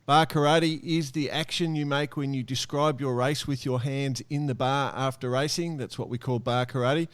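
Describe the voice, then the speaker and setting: An Australian man hosts a relaxed podcast in a smooth, resonant voice. His pitch sits in the middle, and he speaks jovially, as if among friends. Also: moderate pace, few pauses. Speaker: podcast host.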